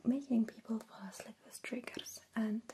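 A woman who is a non-native English speaker, playing a gentle, caring alien visitor in a breathy, soothing whispered ASMR roleplay. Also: on the high side, a little hiss or hum, close to an everyday voice. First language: not English. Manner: slow, soothing, reassuring, with soft giggles. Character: gentle, caring female alien visitor coaxing a human along. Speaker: ASMR creator.

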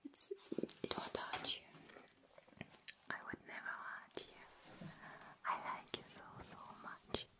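A woman whispering softly and reassuringly in an ASMR roleplay, playing a kind, caring alien examiner. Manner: soft, slow, reassuring, with gentle repetition. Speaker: ASMR performer.

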